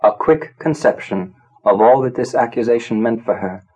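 A man narrating a literary story from a script, in a measured, grave, dramatic storytelling manner. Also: medium pitch, a little mellow, husky, poor audio. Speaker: audiobook narrator.

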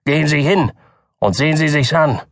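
A man's voice: medium pitch, unhurried, vocal fry, fairly bright tone, slightly rough, no room sound, studio quality, exaggerated voice. A man voicing a stern, irritable old family doctor who speaks in curt, snappish lines. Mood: irritated.

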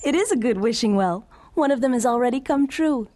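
High-voiced playful western sweetheart played by a woman. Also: expressive, brisk, warm tone, slight background noise, lightly acted. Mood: playful, hopeful. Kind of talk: western audio drama dialogue.

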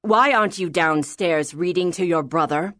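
A woman's voice: on the low side, moderate pace, fairly bright tone, no echo, studio quality, close to an everyday voice. A woman voicing a big, stern, commanding father in an audiobook story. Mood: stern, demanding. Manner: commanding, authoritative. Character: imposing, stern, massive father, demanding obedience.

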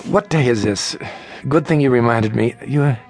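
An actor playing an exhausted, frightened patient in his thirties in an audio drama, weary and halting. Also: mid-range voice, a little mellow, faint music behind, natural voice. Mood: weary, resigned. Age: 30s. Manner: hesitant, halting. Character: exhausted, frightened, agitated thirty-five-year-old male psychiatric patient.

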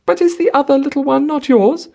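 A man voicing a wilful, spoiled young wealthy woman who pleads in a trembling, breathy, childlike falsetto. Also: huge pitch swings, a little slow, mellow, full character voice. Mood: tearful, insistent.

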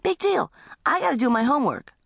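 A woman's voice: sits high, animated, a little fast, vocal fry, fairly bright tone, no room sound, studio quality, pitched above the performer's own voice, heavily acted. A woman voicing a cheeky, defiant little brother in a comic family story, with bratty, taunting retorts.

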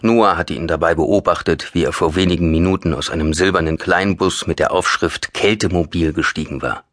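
A man's voice: low voice, steady tone, a little fast, fairly bright tone, dry recording, studio quality. A man with a standard German accent reading a thriller audiobook as dramatic scripted narration.

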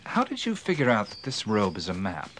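Skeptical adventurer, lively pitch. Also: male voice, a little fast, very noisy. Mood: curious. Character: skeptical, plain-spoken male adventurer nephew, wary but curious. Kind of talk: audio drama acting.

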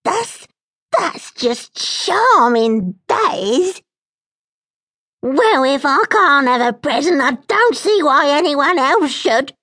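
A woman voicing a spiteful witch who talks slowly and sneers indignantly. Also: high-pitched, animated, pushed and bright, no room sound, clean studio sound, voice pushed up, heavily acted. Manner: slow, drawn-out, indignant and sneering.

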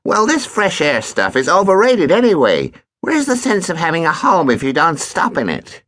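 A man's voice: fairly high pitch, huge pitch swings, moderate pace, a bit bright, no echo, pitched above the performer's own voice. A man voicing a lazy, cheeky stepson who needles his stepfather in a complacent tone. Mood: smug. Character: smug chubby boy, lazy and cheeky.

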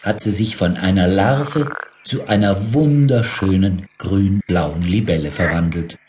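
A man's voice: low-pitched, unhurried, a little mellow, slight background noise. A man reading scripted narration for a children's audiobook.

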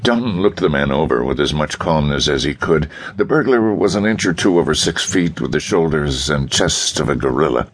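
A man narrates pulp fiction for an audiobook. His voice is exceptionally deep, with a husky grain. The reading is scripted and dramatic. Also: expressive, normal speed, hardly any pauses.

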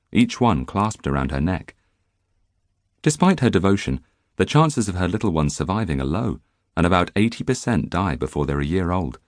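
A man narrating a nonfiction audiobook in an expressive storytelling manner, close to the microphone in a studio. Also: low voice, no echo. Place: dry recording studio.

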